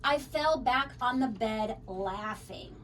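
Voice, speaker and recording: A woman reading a children's story aloud in an expressive, dramatic way. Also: fairly high pitch, takes it slowly, recorded from a distance, slight background noise. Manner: animated, dramatic. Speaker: storybook reader.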